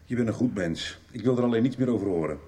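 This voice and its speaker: Radio soap role: detached, evasive husband, played by a man, lowish mellow voice. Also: animated, fast talker, lightly acted. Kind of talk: Dutch radio soap acting.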